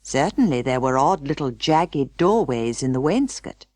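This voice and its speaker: Unhurried woman storyteller with lively intonation. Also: very deep voice, dry recording, studio quality. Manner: measured storytelling. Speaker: audiobook narrator. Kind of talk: scripted children's storybook reading.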